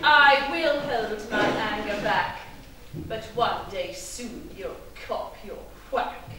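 An actress playing a gloating evil fairy villainess in a stage pantomime, declaiming theatrically in rhyme. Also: female voice, mid-range voice, lively intonation, slow, crowd noise. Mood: angry. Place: theatre stage, recorded from afar. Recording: echoey theatre hall, far mic, music.

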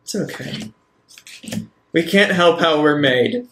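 A man's voice: a little high, animated, takes it slowly, a bit bright, reverberant. A man playing a tabletop role-playing game, improvising casually.

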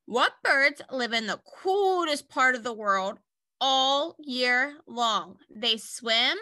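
A woman reading aloud to young children like a teacher, in an animated way with simple explanatory asides. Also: high-pitched, no echo, clean studio sound.

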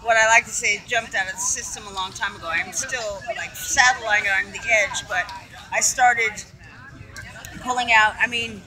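A man's voice: sits high, steady tone, normal speed, rough recording. A man at an outdoor protest camp speaks in a rambling, hesitant way about his life. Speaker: farm host, protester. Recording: crowd chatter outdoors.

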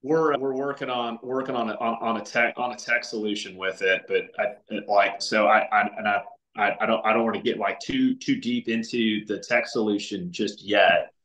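A man's voice: on the low side, steady tone, normal speed, fairly bright tone. A middle-aged man talking earnestly over a video call, often restarting his sentences. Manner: many restarts and fillers, earnest. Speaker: podcast guest. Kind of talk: casual video call chat. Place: home room with windows. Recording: roomy echo.

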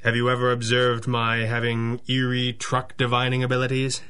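An actor plays a masked male vigilante who leads the investigation in a 1930s-style radio serial. He sounds mid-pitched and measured. His manner is dry, analytical and a little mysterious. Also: monotone, sharp tone, clear, resonant tone, lightly acted. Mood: wry, guarded.

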